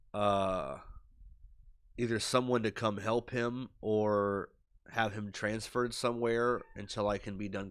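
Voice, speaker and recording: A man's voice: on the low side, clean tone. A young man with a stuffy nose and a scratchy voice from illness, rambling worriedly with lots of fillers in a casual video diary to his webcam. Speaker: streamer. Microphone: webcam at desk.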